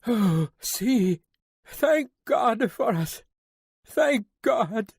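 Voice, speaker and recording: A woman's voice: a little high, expressive, drawn-out words, gravelly, darkish tone, breathy edge, dry recording, clean studio sound, voice pushed up, exaggerated voice. A woman voicing a young woman, a tender daughter, who pleads earnestly and repeats her refrains. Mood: pleading. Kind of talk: audiobook character reading.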